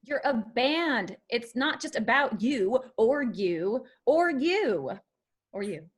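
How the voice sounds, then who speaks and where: A woman's voice: fairly high pitch, big pitch swings, takes it slowly, breathy edge, heavily acted. A woman reading the part of a bold, blunt young woman in a screenplay table read, asking challenging questions. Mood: defiant. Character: Charlie, bold blunt young woman joining country band. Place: remote virtual table read.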